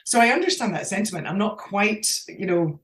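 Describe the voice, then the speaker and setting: A woman in her forties with a Scottish accent touched by Australia, talking warmly over a video call from her sitting room and laughing easily. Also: medium pitch, animated, brisk. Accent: Scottish, with some Australian influence. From Scotland. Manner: warm, laughs easily, talkative. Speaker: nutrition scientist guest. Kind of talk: casual interview chat over video call. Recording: roomy video call audio.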